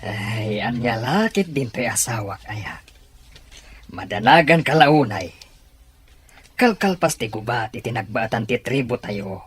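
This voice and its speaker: An actor playing a tribesman and husband with a gentle, reassuring manner, in radio-style drama. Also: medium pitch, expressive, unhurried, a little hiss or hum. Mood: calm, reassuring. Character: calm, reassuring husband and tribesman setting out riding. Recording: music under the voice.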